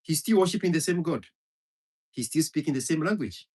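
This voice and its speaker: Man, Kikuyu speaker, husky voice, swooping animated pitch, heated profane rant. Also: a little fast. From Kenya. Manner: heated, profane, hammering repetition. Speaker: commentator. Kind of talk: impassioned monologue.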